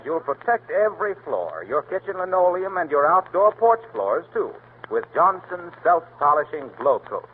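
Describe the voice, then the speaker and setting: Upbeat male radio announcer. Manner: polished, upbeat pitch. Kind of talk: scripted radio commercial. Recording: tinny 1930s radio broadcast, faint hiss.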